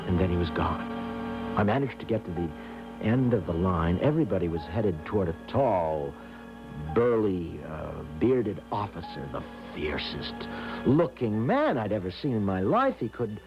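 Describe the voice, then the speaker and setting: A man's voice: low voice, big pitch swings, normal speed. An actor playing a timid, naive, anxious young American man in a 1970s radio drama, stammering and hesitant as he talks and narrates. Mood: nervous, awed recounting. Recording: dull lo-fi 1970s radio broadcast, music behind.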